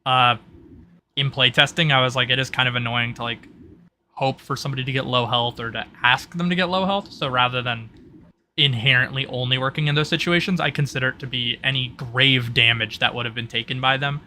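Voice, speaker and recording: A man working as game master, explaining tabletop roleplay rules in a halting way, thinking aloud. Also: medium pitch, fairly even intonation, bright, forceful tone, slight background noise.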